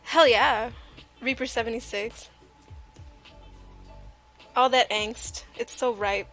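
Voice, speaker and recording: A woman's voice: on the high side, fairly even intonation, slow, a bit bright, low-quality recording. A woman livestream host chatting casually and jokingly while she draws, with a playful, self-deprecating way of talking. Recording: music playing behind the voice. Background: music.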